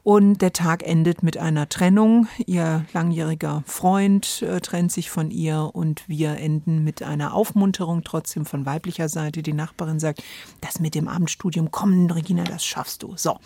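A woman's voice: low voice, steady tone, normal speed, gravelly, dark tone, dry recording, clean studio sound. A woman co-hosting a conversational discussion, often searching for words mid-sentence. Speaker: podcast co-host. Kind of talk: conversational radio drama discussion. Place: dry studio.

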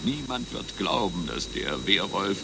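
Blustering low-voiced spy boss, noisy backdrop. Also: male voice, sing-song, unhurried, creaky, exaggerated voice. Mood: conspiratorial, emphatic. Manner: theatrical, stammers when worked up. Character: blustering male spy agency boss briefing an underling. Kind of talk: satirical radio play acting. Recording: loud background noise behind voice.

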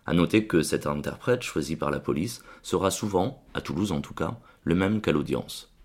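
A man reading a scripted radio column in a steady, explanatory way. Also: deep voice, moderate pace.

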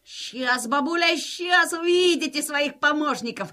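Very high, cheeky little boy. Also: a little slow, pushed and bright. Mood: cocky, assured. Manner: brash, slangy, dismissive. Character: cheeky, insolent young street boy, boastful mischief-maker. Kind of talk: children's musical audio play acting.

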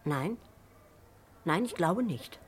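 A woman's voice: on the low side, animated, slow, husky, exaggerated voice. A woman acting an uneasy, earnest witness with a hesitant manner in a vintage German crime radio play. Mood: uneasy, puzzled. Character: uneasy but earnest female witness, a policeman's wife. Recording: old radio recording with noise.

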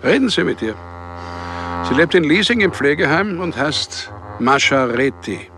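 A man's voice: on the high side, lively intonation, measured pace, music in the background. An actor playing a matter-of-fact man in a radio crime drama, speaking plainly and directly. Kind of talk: German radio play acting.

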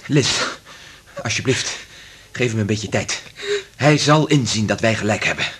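An actor playing a conscientious, commanding lab scientist with urgent delivery in a radio drama. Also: male voice, fairly low pitch, measured pace, a bit bright, a little hiss or hum. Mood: soothing, firm. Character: urgent, conscientious male laboratory scientist taking charge.

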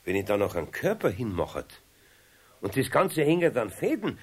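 A good-natured older Bavarian carpenter with a husky, very lively voice. He speaks in a homely, slightly hesitant way, full of patient humour, as in a children's radio play. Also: medium pitch, normal speed. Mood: friendly, bashful.

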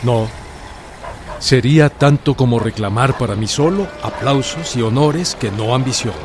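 An actor playing a modest, composed army general in a radio drama, formal and measured. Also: male voice, mid-range voice, natural voice. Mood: composed, firm. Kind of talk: Spanish-language historical radio drama acting. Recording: clear outdoor street noise behind.

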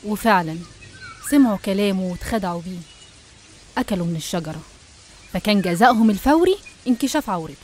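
Quick Egyptian woman storyteller. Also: mid-range voice, expressive, darkish tone, a little hiss or hum. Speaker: narrator. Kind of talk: scripted storytelling for animation.

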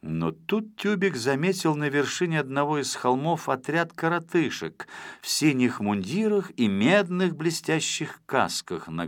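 A man narrating a children's story from a script in an expressive style. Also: mid-range voice. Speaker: audiobook narrator.

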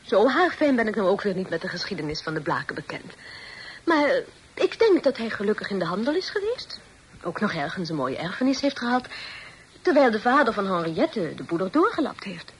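An actress playing a teasing, playful young sister in a period radio play, speaking in formal, old-fashioned phrases. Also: female voice, medium pitch, very animated, fairly quick, lightly acted. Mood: wry, confiding. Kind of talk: Dutch radio play acting. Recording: noisy old radio drama recording.

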